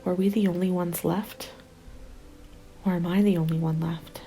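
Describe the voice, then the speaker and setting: A woman acting as a despairing apocalypse survivor narrates her story in an intimate ASMR roleplay. Her voice is soft and mellow, with a gravelly fry at the edges, and it often drops into a whisper. The delivery stays subdued and narrow in melody. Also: fairly low pitch, fairly even intonation, normal speed, close to an everyday voice. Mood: bleak, despairing. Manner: hushed, often slipping into whisper. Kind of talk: ASMR roleplay, scripted first-person narration. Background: soft music bed.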